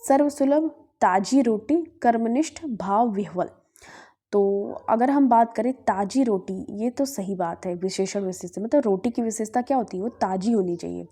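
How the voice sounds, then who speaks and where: Mellow-voiced Hindi tutor woman. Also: medium pitch, moderate pace. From Bihar, India. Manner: asks herself questions and answers them, often says "ठीक है". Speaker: exam prep teacher. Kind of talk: Hindi grammar lesson, solving past exam questions.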